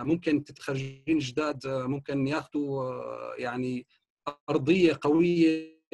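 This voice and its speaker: A man's voice: mid-range voice, mellow, poor audio. A man, an engineer in an online meeting, making a suggestion with many hesitations and English technical terms. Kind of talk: earnest comment in an online meeting.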